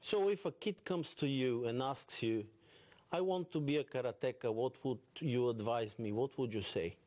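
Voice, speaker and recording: A man with a mid-pitched voice works as a television interviewer. He puts short, direct questions in English. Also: moderate pace, darkish tone.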